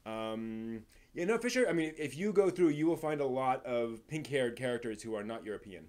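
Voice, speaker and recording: An American man hosts a casual live stream in a mid-pitched voice. He talks in a halting, rambling way, thinking aloud as he responds to viewers. The delivery is conversational and unscripted. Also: expressive, a little mellow. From East Coast of the United States. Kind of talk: casual livestream chat, answering viewer questions.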